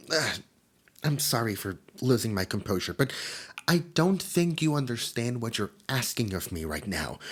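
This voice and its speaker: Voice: medium pitch, breathy edge. A performer voicing a nerdy, easily flustered immortal demon in an ASMR roleplay, with a stammering, theatrical delivery. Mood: guarded, uneasy. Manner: stammering, theatrical, swinging from soft musing to panicked outbursts. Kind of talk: roleplay ASMR character acting.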